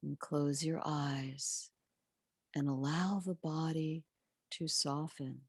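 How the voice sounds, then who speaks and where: A woman leading a guided meditation and prayer in a gentle, soothing, measured way with long pauses, her soft voice turning breathy at phrase endings. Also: deep voice, steady tone, dry recording, clean studio sound.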